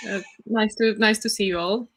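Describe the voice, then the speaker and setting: A woman's voice: mid-range voice, fairly even intonation, normal speed, poor audio. A young woman from Poland, explaining her research as a guest over a video call, with frequent "uh" fillers. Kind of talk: casual interview talk.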